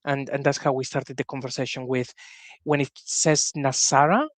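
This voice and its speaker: A man's voice: mid-range voice, steady tone, moderate pace, no room sound. A man appearing as a guest on a podcast, explaining his views in a thoughtful, slightly hesitant way. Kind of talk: casual discussion.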